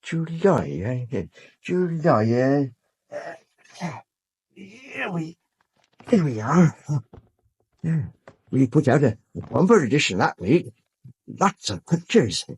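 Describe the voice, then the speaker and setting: Old british archivist guy, really husky, swoopy pitch, fussy chatty mutterer, radio play. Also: big pitch swings, slow pace, pauses often, darkish tone, no room sound, heavily acted. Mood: fussy, searching. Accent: British English. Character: fussy, chatty old male newspaper archivist, easily scandalised. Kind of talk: full-cast audio drama acting.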